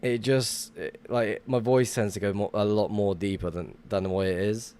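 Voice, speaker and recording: A man's voice: lowish voice, steady tone, normal speed, a little mellow, slight background noise. A young man chatting casually while livestreaming a game, rambling and swearing now and then as he greets viewers. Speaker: livestream gamer. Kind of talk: casual live-stream chat while gaming.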